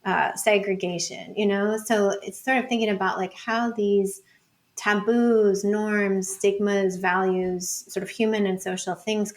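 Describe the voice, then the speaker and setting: A woman with a mid-pitched voice in a casual, intellectual interview. She works through her ideas out loud as a researcher, in an informal way peppered with "like" and "you know.". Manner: informal, thinking aloud with frequent "like" and "you know". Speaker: podcast guest, researcher.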